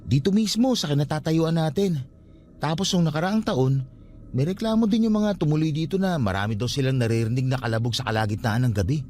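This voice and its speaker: A Filipino man acting in a radio drama as a calm, respectful young caretaker of a haunted guesthouse, speaking politely and reassuringly. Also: fairly high pitch, fairly quick, slight background noise, close to an everyday voice. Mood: matter-of-fact.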